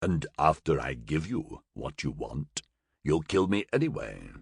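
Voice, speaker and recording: A man voicing a gurgling, fanged half-animal male monster, held prisoner and speaking in a taunting, sardonic, drawn-out way. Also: rumbling low, big pitch swings, gravelly, slightly rough, pitched below the performer's own voice, full character voice.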